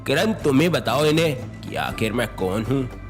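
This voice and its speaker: A man's voice: medium pitch, animated, measured pace, music in the background, lightly acted. A man playing a put-upon young husband of modest means in a dramatised moral story, protesting in an exasperated, reproachful manner. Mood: wary.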